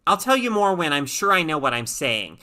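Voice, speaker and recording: A woman voicing a calm, evasive male doctor in a story reading, measured, reassuring and professional. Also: low-pitched, exaggerated voice. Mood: reassuring, guarded.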